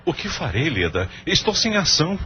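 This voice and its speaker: A man's voice: a little high, huge pitch swings, moderate pace, bright, forceful tone, exaggerated voice. A man acting an anxious, ashamed husband in an audio drama, with a theatrical, emotional delivery. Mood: distraught. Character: anxious, ashamed adulterous husband seeking help. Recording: muffled radio drama audio with music.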